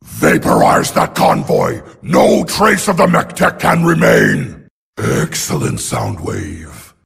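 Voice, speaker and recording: An actor playing a ruthless, imperious giant robot warlord in a video game, with a gravelly snarl and a menacing, grandiose manner. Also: male voice, very deep voice, wildly swooping pitch, slow pace, a bit bright, slight background noise, full character voice. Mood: cold, scheming. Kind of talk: video game villain acting.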